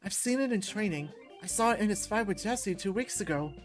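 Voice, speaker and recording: A woman reading a visual novel aloud as expressive first-person story narration. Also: medium pitch, brisk, soft background music.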